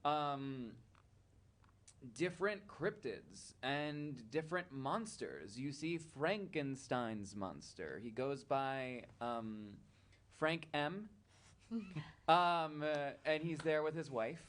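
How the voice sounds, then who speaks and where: A man narrating a tabletop fantasy game as game master, halting as he improvises and drifting into casual asides. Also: fairly high pitch, animated, a bit bright.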